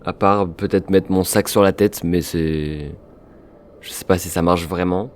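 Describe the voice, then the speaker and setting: Deep-voiced easygoing player. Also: male voice, fairly even intonation, unhurried, darkish tone, a little hiss or hum. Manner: easygoing, rambling. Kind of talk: casual tabletop roleplay.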